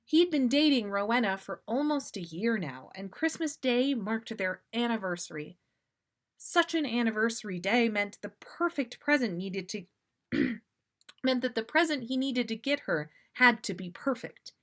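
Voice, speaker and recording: A woman's voice: a little high, normal speed. A woman hosting a livestream, reading a story aloud in an amused, casual yet theatrical way. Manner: amused, expressive delivery. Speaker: podcast host.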